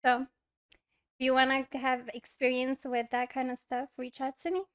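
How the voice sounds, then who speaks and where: Young female guest, Arabic-accented English, fairly high pitch, shy and halting delivery with giggles; lav mic on a couch set. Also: monotone, clear, resonant tone. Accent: Moroccan Arabic. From Morocco. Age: young adult. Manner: soft, hesitant, many fillers, giggly. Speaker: podcast guest. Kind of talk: casual interview chat. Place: small indoor studio, on a couch. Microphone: lavalier mic.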